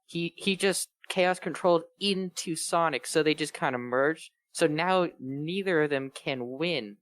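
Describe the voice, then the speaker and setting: A man's voice: a little high, unhurried, fairly bright tone, no room sound. A man chatting and joking casually as one of a group reading fan fiction aloud. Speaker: reader on a bad fanfiction show.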